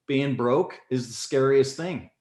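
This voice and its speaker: A man in his forties telling his story as a podcast guest in casual conversation, animated and leaping up in pitch when excited. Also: a little slow. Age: 40s. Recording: echoey room.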